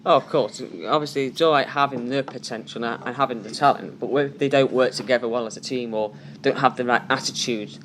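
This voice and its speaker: Fast-talking opinionated man co-hosting. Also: medium pitch, fairly even intonation, fairly bright tone, a little hiss or hum. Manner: quick, opinionated, emphatic. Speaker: co-host. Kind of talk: casual sports chat.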